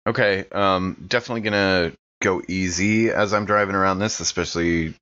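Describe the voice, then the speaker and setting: A man's voice: sits low, steady tone, a little fast, sharp tone, lo-fi sound. A man playing a tabletop game, talking casually and improvising his character's actions.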